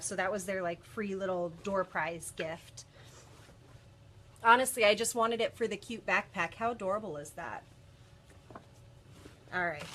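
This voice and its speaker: A woman's voice: medium pitch, fairly bright tone, slight background noise. A woman in her thirties hosting a casual live stream from a cluttered office, a bit congested from a cold and chatty with lots of ums. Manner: chatty, many ums and restarts. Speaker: bead shop video host. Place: cluttered office workroom, indoors.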